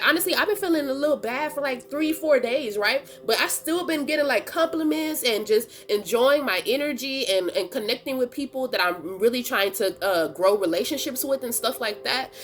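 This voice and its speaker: A woman's voice: fairly high pitch, fairly bright tone. Stuffy-nosed woman, chatty tarot reading, slangy, laughs a lot. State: stuffy nose from a cold. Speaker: tarot reader and channel host. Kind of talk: casual tarot reading chat.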